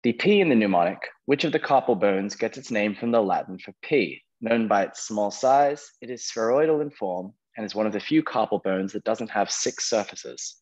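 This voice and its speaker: A man with a low, slightly husky voice hosts a quiz over a dull, lo-fi connection. He reads questions out to contestants and is encouraging as he moves between players. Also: expressive, normal speed, few pauses, a little mellow. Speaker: quiz host. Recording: dull lo-fi online call audio.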